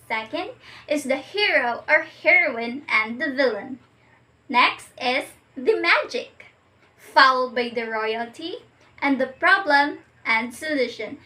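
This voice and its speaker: A woman's voice: high voice, bright, forceful tone, poor audio. A woman giving a scripted educational presentation, reading aloud in an explanatory way.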